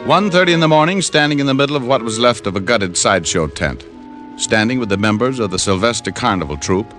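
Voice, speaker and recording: An actor playing a wry, world-weary private detective in a hardboiled old radio drama. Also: male voice, fairly low pitch, a bit bright. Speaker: lead actor and narrator.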